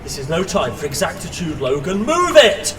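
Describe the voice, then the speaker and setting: Man acting a bossy dad, high and animated. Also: normal speed, background noise. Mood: exasperated. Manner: urgent, barking orders. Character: exasperated, bossy father leading an escape. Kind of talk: audio drama acting. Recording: distant mic under loud music.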